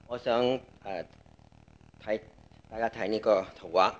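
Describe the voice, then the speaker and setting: A man with a Cantonese accent delivers a sermon in a fairly low voice. He talks conversationally and breaks his thoughts into short phrases, leaving gaps for an interpreter. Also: fairly even intonation, a little slow, lo-fi sound. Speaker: preacher.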